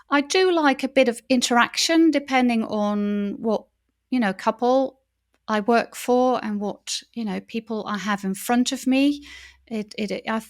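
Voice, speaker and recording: Woman, Dutch accent, fairly high voice, open and lively, middle-aged, video call interview. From Netherlands. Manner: open and enthusiastic, talks with her hands. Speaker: wedding celebrant. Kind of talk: interview guest.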